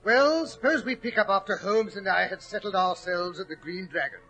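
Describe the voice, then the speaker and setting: A high-voiced blustery gent, hearty puzzled sidekick, old radio drama, kinda husky. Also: male voice, normal speed, pushed and bright, soft background music, heavily acted, rough recording. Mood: genial narrating. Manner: blustery, exclamatory. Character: Doctor Watson, hearty, puzzled English gentleman companion and narrator. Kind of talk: 1940s radio acting. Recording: old 1940s radio recording.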